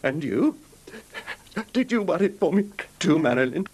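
Nervous genteel husband, animated and high. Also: male voice, moderate pace. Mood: contrite, pleading. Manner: hesitant, stammering, mannered, formal. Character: fragile, nervous, genteel husband recounting his breakdown. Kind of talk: old time radio drama acting. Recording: noisy old radio drama recording.

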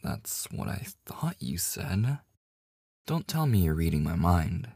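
A man's voice: deep voice, sing-song, takes it slowly, vocal fry, darkish tone, slightly rough, dry recording, studio quality, heavily acted. A man playing a devoted, slightly obsessive boyfriend in an intimate ASMR audio roleplay, with a hesitant, stammering manner. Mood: incredulous. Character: devoted, nervously joking boyfriend hiding obsessive yandere streak. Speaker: voice actor.

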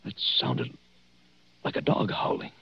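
An actor playing a desperate, intense young husband in a 1940s radio suspense drama. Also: male voice, a little slow, natural voice. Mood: stunned, uneasy. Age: young adult. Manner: urgent, intense. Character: desperate young husband searching for his missing wife. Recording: noisy muffled 1940s radio recording.

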